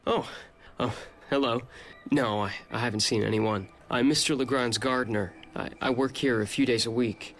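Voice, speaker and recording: A man's voice: mid-range voice, fairly even intonation, normal speed, a bit bright, soft background music, close to an everyday voice. Actor as nervous, hesitant male gardener. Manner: hesitant, stammering. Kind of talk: video game cutscene acting.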